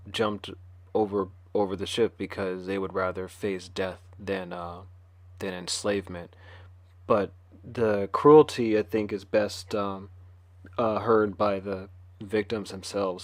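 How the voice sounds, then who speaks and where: Guy giving a talk, monotone, stops and starts a lot, pretty dry sound. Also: sits low, no echo. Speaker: conference speaker. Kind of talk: informal lecture.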